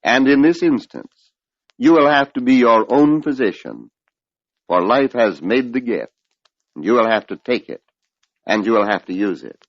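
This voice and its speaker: An older man giving a measured, oratorical spiritual lecture on an old radio broadcast, posing rhetorical questions. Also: medium pitch, expressive, no echo. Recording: dull lo-fi vintage radio recording.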